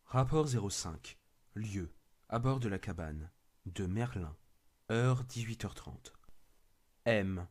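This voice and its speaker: A man's voice: fairly low pitch, lively intonation, slow pace, pauses often, a little mellow. A man giving scripted narration as a horror story narrator, reading out log headings.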